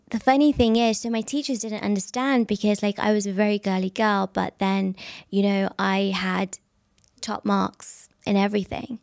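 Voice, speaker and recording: Brief: thirties woman, soft gravelly voice, dry close sound, chatty animated storyteller. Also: mid-range voice, dry recording. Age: 30s. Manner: animated storyteller, frequent fillers and laughs. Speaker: guest. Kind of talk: casual interview chat.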